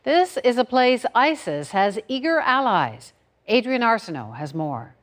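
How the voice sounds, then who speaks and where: Lively-voiced woman anchoring the news. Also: fairly high pitch, measured pace. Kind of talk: scripted news reading.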